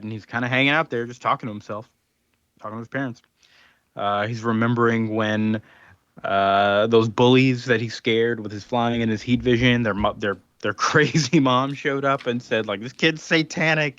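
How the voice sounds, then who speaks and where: A man's voice: lowish voice, a bit bright, no echo, muffled recording. Chatty man recapping a comic, dry sound. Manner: rambling, many ums and restarts, acts out quotes. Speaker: podcast co-host. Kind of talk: casual comic book recap.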